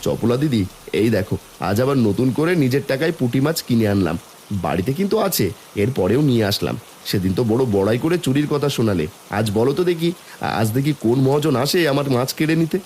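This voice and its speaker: Man acting a proud, animated village father. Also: mid-range voice, quick pace, slight background noise, lightly acted. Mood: boastful, defiant. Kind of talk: Bengali cartoon fairy-tale voice acting.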